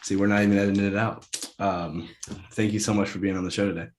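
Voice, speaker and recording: A man's voice: on the low side, fairly even intonation, quick pace. A man hosting a podcast, asking loose questions full of fillers. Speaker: podcast host. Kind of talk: casual interview chat.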